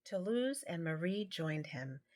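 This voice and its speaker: Lady reading a kids book out loud, lowish voice, takes her time. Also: animated, a little slow. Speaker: amateur storybook reader. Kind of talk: reading a children's picture book aloud.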